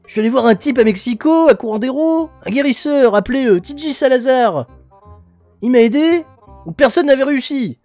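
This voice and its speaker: A man's voice: very high-pitched, expressive, a little fast, a little mellow, no room sound, soft background music, pitched above the performer's own voice. A man voicing a tough, injured male wrestler who talks bluntly and in pain. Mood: recounting.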